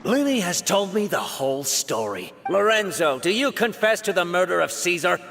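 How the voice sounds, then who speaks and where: A stern male judge in a video game. His formal, solemn voice is high, bright and gravelly, with a long hall reverb. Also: big pitch swings, normal speed, faint music behind, heavily acted.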